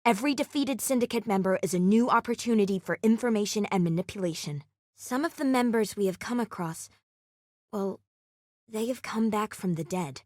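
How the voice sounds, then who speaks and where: An actress playing a solemn, secretive guardian in a video game, speaking in a measured, formal, grave way. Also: female voice, mid-range voice, a little mellow, no echo, clean studio sound, close to an everyday voice. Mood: apologetic, grave, then troubled. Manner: measured, formal, grave delivery without casual contractions. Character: solemn, secretive female guardian hunting an immortal crime syndicate. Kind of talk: scripted video game character acting.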